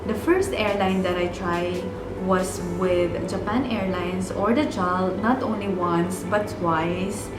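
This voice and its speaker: A woman from the Philippines in her thirties with a Filipino accent, telling a personal story in an earnest, reflective way as a vlogger. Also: mid-range voice. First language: Bicolano. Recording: echoey room with music.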